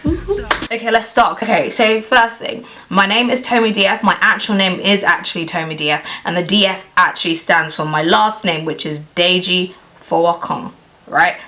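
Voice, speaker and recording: A woman in her twenties, raised in Nigeria, chatting casually to camera about herself, full of "like" and "you know", often trailing off quietly. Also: lowish voice, normal speed, soft background music. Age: 20s. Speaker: beauty vlogger.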